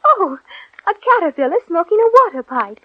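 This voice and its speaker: A woman's voice: extremely high pitch, big pitch swings, normal speed, dry recording. A woman playing a polite, curious young girl who muses aloud to herself in an old radio play. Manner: polite, wondering, talks to herself. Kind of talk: 1940s radio acting. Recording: dull old radio recording.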